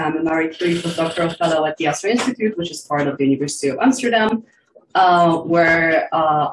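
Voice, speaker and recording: Heard from off in an echoey room, a woman with a slightly low voice introduces herself as a presenter. Her delivery is informal, like someone about to start a workshop paper. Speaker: postdoctoral researcher and presenter. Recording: echoey room, distant mic, faint noise.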